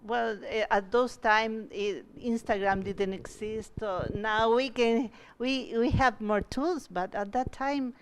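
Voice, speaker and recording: Woman, guest artist at a museum talk, slow and searching for words, clean clear tone. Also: on the high side, fairly even intonation. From Chile. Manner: halting, searching for words, frequent restarts. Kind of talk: answering audience questions at a museum talk. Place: museum event space.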